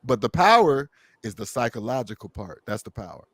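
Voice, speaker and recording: A man's voice: medium pitch, big pitch swings, fairly quick, a bit bright, breathy edge, no room sound. A man with a mid-range voice holds forth in a casual talk. He is emphatic and a little preachy, doubling back on his words to drive a point home. The sound is close and dry, with no room around it. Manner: emphatic, preachy, repeats himself for stress. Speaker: podcast host. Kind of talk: casual conversation.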